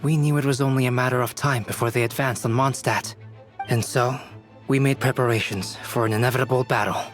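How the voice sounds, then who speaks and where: An actor playing a composed young alchemist knight in a video game, speaking in a measured, formal way. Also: male voice, medium pitch, steady tone, soft background music, close to an everyday voice. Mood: calm explaining.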